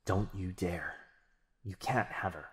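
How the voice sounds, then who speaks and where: Casting: male actor as an anxious young boyfriend, protective and earnest, lowish voice, ASMR roleplay. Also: slow pace, fairly bright tone, breathy edge, heavily acted. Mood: defiant. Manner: urgent, earnest.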